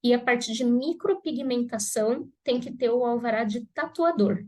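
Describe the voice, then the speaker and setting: A Brazilian woman with a slightly high voice teaches students in a live class. She is stuffed up with a cold, and her talk stays casual and chatty, with lots of "né?" tags. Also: moderate pace, darkish tone. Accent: Brazilian Portuguese. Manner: conversational, frequent "né?" tags. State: congested with a cold. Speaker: pharmacist teaching aesthetics students.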